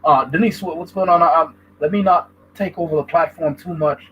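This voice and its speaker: A man's voice: on the high side, moderate pace, lo-fi sound, slight background noise. A man from Haiti hosting a livestream, giving emphatic opinion commentary and stopping often to ask "right?". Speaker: channel host.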